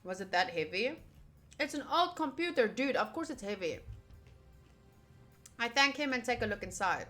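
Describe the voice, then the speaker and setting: A young woman plays through a visual novel, reading aloud and adding casual, playful asides. Her voice is a little high. Soft game music sits behind her. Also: very animated, normal speed, long pauses. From United States. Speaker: game streamer.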